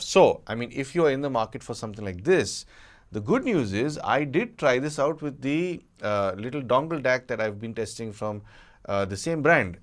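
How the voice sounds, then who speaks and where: Male tech reviewer, medium pitch, off-the-cuff and conversational, qualifying points as he goes. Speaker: audio gadget reviewer. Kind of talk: casual unscripted product review.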